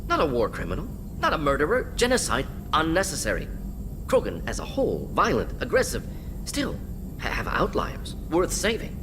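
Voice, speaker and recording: A self-assured male alien scientist from a video game. He speaks in brisk, clipped fragments with lively, swinging pitch and defends his grim work with certainty. There is clear ambient noise behind him. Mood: insistent, agitated. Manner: clipped telegraphic sentences, dropping small words.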